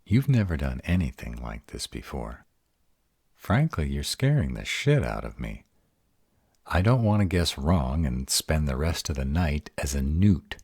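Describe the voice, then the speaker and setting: A man's voice: rumbling low, sing-song, a little slow, a little mellow, slightly rough, no room sound, studio quality, exaggerated voice. A man acting a sarcastic, baffled vampire in an audio roleplay drama, wary and exasperated, with a casual, sweary manner. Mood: uneasy, sarcastic.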